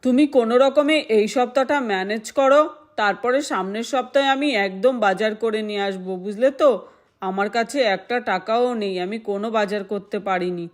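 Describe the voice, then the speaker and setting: A woman's voice: mid-range voice, fairly quick, close to an everyday voice. A woman voicing a poor, apologetic village father in a doll-play story, earnest and reassuring.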